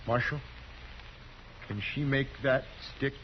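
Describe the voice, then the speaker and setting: An actor playing a simple, gentle, wounded rancher in a radio western, speaking in a halting, childlike, plain way. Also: male voice, mid-range voice, close to an everyday voice. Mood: hurt. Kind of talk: 1950s radio western acting. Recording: muffled, noisy 1950s radio recording.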